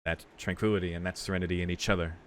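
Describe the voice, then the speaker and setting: A man's voice: sits low, level tone, racing, fairly bright tone. A man doing a casual, reflective let's play of a visual novel, commenting and reading the text aloud. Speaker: streamer playing a visual novel. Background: game music.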